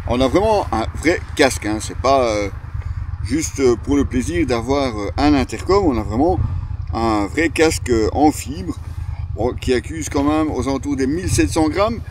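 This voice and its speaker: A man's voice: mid-range voice, darkish tone. Belgian French man reviewing gear outdoors, noisy background. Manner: hesitant, explanatory, conversational. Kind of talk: casual unscripted product review to camera. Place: outdoors, stopped during a motorcycle ride. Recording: clear outdoor ambience and traffic noise.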